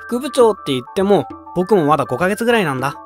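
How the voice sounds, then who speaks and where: A performer acting a meek, friendly teenage boy, a self-deprecating club vice-president with a gentle, apologetic manner, in an amateur voice drama. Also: mid-range voice, big pitch swings, fast talker, natural voice. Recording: music under the voice.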